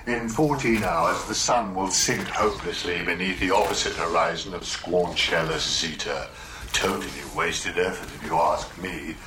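Gloomy robot, voiced by a man, flat and despondent, very deep, hissy recording. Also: normal speed, lightly acted. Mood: glum. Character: gloomy male robot, weary and pessimistic. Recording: hissy radio play recording.

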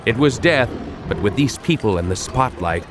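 A man giving tense, measured, theatrical narration for an audiobook production. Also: fairly low pitch, animated, background noise. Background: music.

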